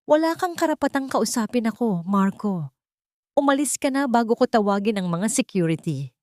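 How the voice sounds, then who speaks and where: A woman's voice: fairly high pitch, lively intonation, normal speed, darkish tone, no room sound, clean studio sound, pitched above the performer's own voice, natural voice. A woman voicing a proud, frightened young woman who defiantly stands firm in an audio drama. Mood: defiant, tense.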